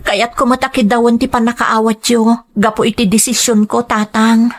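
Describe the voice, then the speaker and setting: A woman's voice: on the high side, little pitch movement, normal speed, faint music behind, close to an everyday voice. A woman playing an earnest, respectful migrant-worker mother in an audio drama. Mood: grateful. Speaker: voice actor. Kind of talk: Ilocano audio drama acting.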